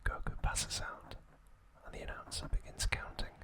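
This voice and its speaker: A man whispering softly in short, measured phrases as he narrates an ASMR recap. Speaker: ASMR channel host.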